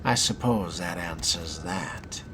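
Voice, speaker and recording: A man's voice: sits low, slow, background noise. A man voicing a wry, inquisitive vampire fledgling in a video game role-play, articulate, musing and sardonic. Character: wry, inquisitive young male vampire fledgling with refined diction. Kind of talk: role-played game narration and dialogue. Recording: loud background music.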